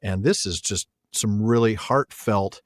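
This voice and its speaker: Low-voiced man hosting a podcast. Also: measured pace, dry recording, studio quality. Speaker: podcast host. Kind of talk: casual, reflective discussion.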